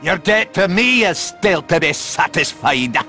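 An actor playing a menacing, tentacled undead sea captain in a video game, with a gravelly, drawn-out delivery. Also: male voice, fairly high pitch, expressive, sharp tone, faint music behind. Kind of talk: villain acting in a video game.

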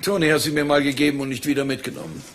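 An actor playing a haughty, guarded gentleman suspect in a crime drama, speaking curtly, precisely and with condescension. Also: on the high side, steady tone, very fast, slight background noise, lightly acted. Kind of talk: German crime drama dialogue.

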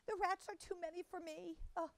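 A woman's voice: very high voice, fairly even intonation, brisk, no echo, pitched above the performer's own voice. A woman voicing a tearful, fretful mother cat who wails about her lost kitten. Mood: tearful, fretting. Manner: wailing, fussing.

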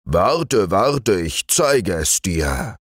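A man's voice: deep voice, sing-song, drawn-out words, slightly rough, no echo, studio quality, pitched above the performer's own voice, heavily acted. A man doing a grumpy, grumbling older bear. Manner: grumbling, sly.

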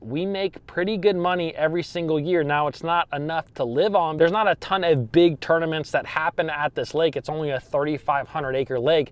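A man's voice: on the high side, dry recording. A man in his thirties from Ohio hosting a fishing channel, talking casually and upbeat to a handheld camera inside his truck. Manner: chatty and upbeat, lots of "you know" and "actually". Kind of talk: casual vlog talk to camera. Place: inside a parked pickup truck, later outdoors in a parking lot. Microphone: handheld camera at arm's length.

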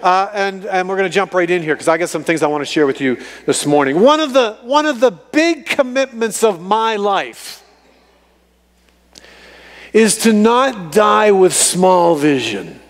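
High-voiced preacher man in a reverberant hall. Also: measured pace. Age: fifties, fifty-four. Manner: animated, emphatic repetition for effect. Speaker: guest preacher. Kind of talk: sermon to a congregation. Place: large church sanctuary, on stage before congregation.

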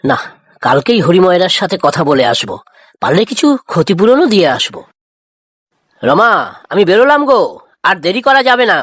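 A woman voicing a calm, responsible farmer father with a husky voice in a children's cartoon. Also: on the low side, moderate pace, pauses often, no room sound, clean studio sound.

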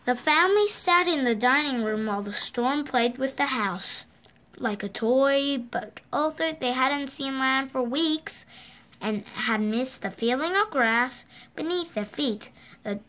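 A woman with a high, clear, bright voice reads a children's storybook aloud. She takes her time, pausing between phrases. She lifts her voice for each character's quoted line. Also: lively intonation. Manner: slow, gentle read-aloud pacing with lifted voices for quoted lines. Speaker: storybook reader. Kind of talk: reading a picture book aloud. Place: indoors at a table. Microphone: phone or camera filming the book from above.

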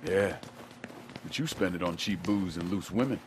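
An actor playing a gruff, blunt, profane tough guy in a crime video game. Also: low voice, normal speed, close to an everyday voice. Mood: gruff banter. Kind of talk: video game drama acting. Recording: car and action noise behind.

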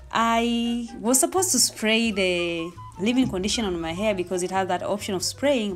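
A young woman whose first language is Swahili talks through a hair tutorial in an easy, chatty way, explaining each step as she goes. Her voice sits at a middle pitch, and faint music plays quietly behind her. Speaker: hair vlogger.

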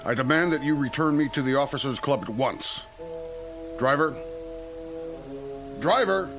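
An actor playing an indignant, commanding army colonel in a radio drama. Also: male voice, medium pitch, expressive, a little slow, music in the background, close to an everyday voice. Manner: brusque and commanding.

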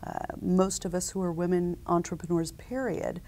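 A woman in her forties speaks as a seated conference interview guest. Her voice sits low with a gravelly edge and a dark, mellow color. She talks in a measured, businesslike way, filling gaps with ums and uhs. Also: fairly even intonation. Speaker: company founder, interview guest. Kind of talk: conversational interview answers.

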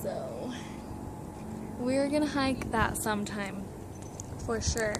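A woman's voice: fairly high pitch, expressive, slow. A young woman vlogging in a park with a phone at arm's length, chatting casually and giggling as she teases. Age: young adult. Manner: giggly, playful teasing. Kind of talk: casual vlog chat. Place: outdoors in a city park and on a mountain trail. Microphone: phone held at arm's length. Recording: outdoor noise behind phone audio.

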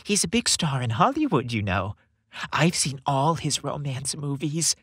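A man's voice: mid-range voice, expressive, measured pace, a bit bright, slightly rough, no echo, studio quality. A man voicing a doting, effusive older woman, Santa's wife, in a sing-song storybook manner. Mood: confiding.

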